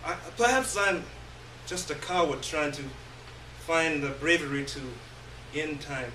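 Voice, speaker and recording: A roomy, distant recording carries a man's notably high voice as he reads a story aloud on stage. His delivery is theatrical and halting, with long pauses between phrases. Faint noise sits behind him. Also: bright, forceful tone. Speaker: reader of a short story. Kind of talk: dramatic first-person literary reading on stage. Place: live indoor stage reading. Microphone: distant microphone.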